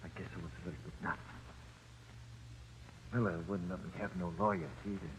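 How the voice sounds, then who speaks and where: A man's voice: sits low, steady tone, brisk, lightly acted. An actor playing a panicky jailed gangster with slangy, uneducated tough-guy speech, in 1940s radio drama style. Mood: haunted, weary. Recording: scratchy, thin old radio recording.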